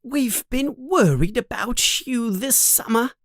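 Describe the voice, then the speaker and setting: A man's voice: extremely high pitch, expressive, slow pace, dry recording, studio quality, pitched above the performer's own voice, exaggerated voice. A man voicing a stern, plump, motherly woman who scolds shrilly and turns sweet with guests. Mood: scolding.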